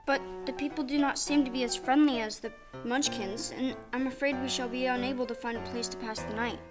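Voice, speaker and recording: Woman as a high-voiced tin woodman. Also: steady tone, brisk, loud music behind the voice, natural voice. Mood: earnest. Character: gentle tin woodman, earnest and helpful. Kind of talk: audio play acting.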